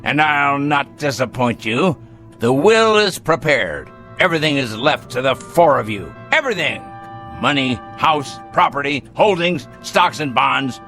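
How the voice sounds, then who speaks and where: An actor playing a dying, rich old patriarch, bitter and commanding, speaking with theatrical, biting deliberation in a radio drama. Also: male voice, mid-range voice, expressive, measured pace, sharp tone, faint music behind. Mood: bitter, scornful. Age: older.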